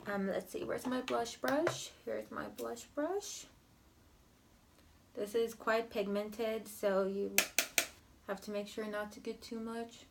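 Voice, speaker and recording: Young female beauty vlogger with a cold, slow and sniffly, gravelly fry, slightly high. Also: very noisy. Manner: slow, rambling, filler-heavy commentary while applying makeup. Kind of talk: makeup tutorial chat.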